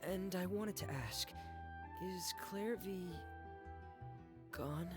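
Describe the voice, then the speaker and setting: A man voicing a subdued, reserved young man in a video game, soft-spoken and a little hesitant. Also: sits high, slow. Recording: music clearly behind the voice.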